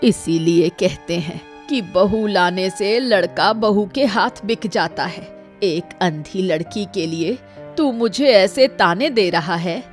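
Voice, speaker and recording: A woman's voice: medium pitch, slow, faint music behind, lightly acted. Woman voicing a bitter, complaining old cartoon mother. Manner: complaining, reproachful. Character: bitter, hunchbacked old mother resenting her daughter-in-law. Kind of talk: Hindi cartoon dialogue acting.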